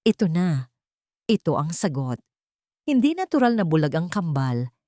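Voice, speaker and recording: A woman's voice: sits low, sing-song, moderate pace, warm tone, no echo, studio quality. A woman reading a scripted story as an audiobook narrator, in a dramatic storytelling style.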